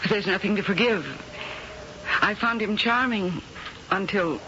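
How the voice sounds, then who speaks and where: A woman's voice: medium pitch, unhurried, noisy background, natural voice. A woman playing a reflective heroine narrator. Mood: polite. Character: reflective, compassionate American actress heroine. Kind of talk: 1970s radio drama narration. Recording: dull old broadcast with music bed.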